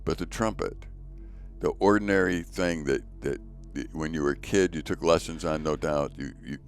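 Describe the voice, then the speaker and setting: A man with a notably deep, low voice hosts a faith podcast, telling stories in an earnest, conversational way and often repeating words as he goes. Faint music plays softly underneath. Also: normal speed. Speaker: podcast host. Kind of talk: casual conversation.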